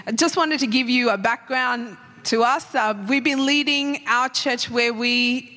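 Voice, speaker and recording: High bright Sri Lankan-Australian woman preacher. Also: measured pace. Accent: Australian with Sri Lankan traces. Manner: heartfelt, repeats "Lord God" in fervent prayer. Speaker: pastor's wife, guest speaker. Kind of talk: church talk and prayer. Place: church hall. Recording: echoey church hall.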